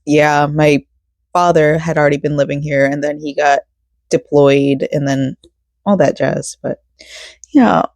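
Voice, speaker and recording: A hoarse American woman in her thirties talking casually and ramblingly, as in a personal vlog. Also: sits low, fairly even intonation, unhurried, pauses often, darkish tone, dry recording, clean studio sound. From Florida, United States. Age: 30s. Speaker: vlogger.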